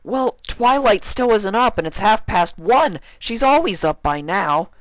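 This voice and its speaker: A man acts out a small dragon boy from a cartoon fan story. The voice is pushed high and bright, with an earnest, concerned, plain-spoken manner and very little room sound. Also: animated, a little slow, no echo, low-quality recording.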